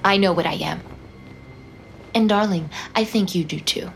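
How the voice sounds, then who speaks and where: A woman playing a seductive, menacing vampire in an audio drama, taunting her prey in a breathy, theatrical way. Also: on the low side, moderate pace, slight background noise. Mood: playful menace.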